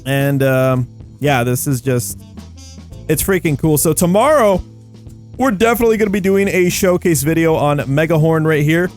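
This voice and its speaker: An excitable man with a somewhat high-pitched voice hosts a casual gameplay video. He talks in loose slang, rambling and doubling back on phrases as he thinks aloud. Light music plays behind his commentary. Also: normal speed. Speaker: video game YouTuber and host. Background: background music.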